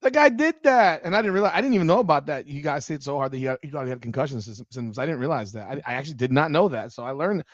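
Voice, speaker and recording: Man, 40s, sports podcast host, animated, cussing, slightly high voice, dull dry audio. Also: rapid-fire, few pauses, no echo. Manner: animated, swears freely, rambling fan talk. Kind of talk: casual sports podcast chat.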